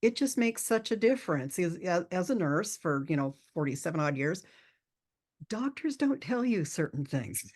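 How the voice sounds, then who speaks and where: A woman's voice: on the low side, sing-song, moderate pace, darkish tone. An older woman who worked as a nurse for decades, talking earnestly on a podcast with many restarts. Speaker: guest, retired nurse. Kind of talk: podcast interview.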